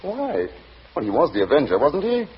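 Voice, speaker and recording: A man's voice: fairly high pitch, huge pitch swings, moderate pace, slightly rough, full character voice. An actor playing an exasperated, insistent man demanding answers in 1940s radio style. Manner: pressing, insistent. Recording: dull old radio broadcast.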